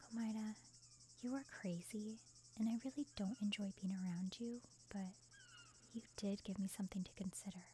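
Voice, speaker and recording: A woman playing an aloof, melancholy young photographer in an anime-style ASMR roleplay, speaking in a soft, pensive way. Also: mid-range voice, steady tone, normal speed, slight background noise, lightly acted. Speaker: voice actor in fan roleplay.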